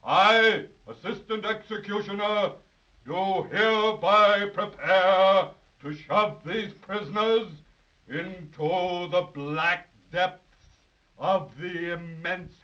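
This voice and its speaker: A man's voice: sits high, slow, pushed and bright, exaggerated voice. A man playing a forgetful, pompous assistant executioner in a 1930s children's radio serial, ceremonious and dithering. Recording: muffled 1930s radio transcription.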